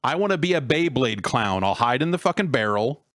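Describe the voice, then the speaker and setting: A man with an average-pitched voice talks in loose, comedic podcast banter. He riffs freely and swears casually. The sound is dry, with no room echo. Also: big pitch swings, brisk, fairly bright tone. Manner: profane, riffing. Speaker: podcast co-host.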